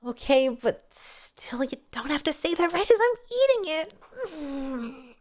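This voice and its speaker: A woman's voice: sits high, sing-song, takes it slowly, pitched above the performer's own voice, exaggerated voice. A woman voicing a young sorceress heroine, cheeky and boastful, with playful exclamations, in a storybook reading. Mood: aggrieved.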